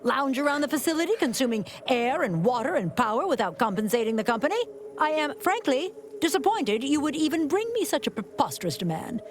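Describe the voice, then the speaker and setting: A woman's voice: high voice, sing-song, fairly quick, a little hiss or hum. A woman voicing a greedy, penny-pinching corporate boss in a video game, pompous, theatrical and articulate. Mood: indignant.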